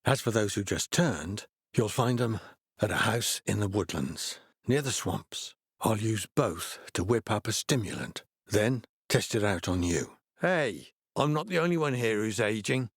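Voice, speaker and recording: An actor playing a laid-back, earnest middle-aged hippie healer in a video game, explaining things in an easygoing way. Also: male voice, lowish voice, lively intonation, a little slow, breathy edge, no echo, clean studio sound, heavily acted. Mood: calm, resigned, then earnest, persuasive. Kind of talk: video game character dialogue.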